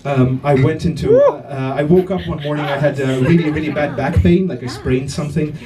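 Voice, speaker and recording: A man from Estonia performing a stand-up comedy set, with a halting delivery full of fillers and restarts. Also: on the high side, far from the mic, a crowd in the background, rough recording. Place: comedy club stage with live audience.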